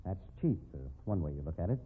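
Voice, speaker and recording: An actor playing a shrewd businessman in a 1950s radio drama, with a measured, matter-of-fact manner. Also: deep voice, sing-song, husky, full character voice. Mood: feigned worry. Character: calm, shrewd male businessman helping blackmail victim. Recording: muffled noisy 1950s radio recording.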